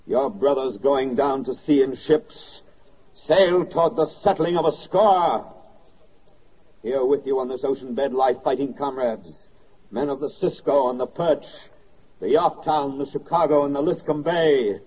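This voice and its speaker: An actor playing a solemn, stirring American narrator in a 1940s radio drama, declamatory and emphatic. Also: male voice, fairly high pitch, breathy edge. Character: solemn, stirring male narrator addressing soldiers and sailors. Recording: dull old 1940s radio recording.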